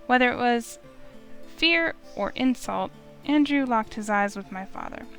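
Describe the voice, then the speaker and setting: A woman's voice: on the high side, animated, unhurried. A woman reading a visual novel aloud as dramatic first-person narration, with music behind her. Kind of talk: dramatic narration of a game's text.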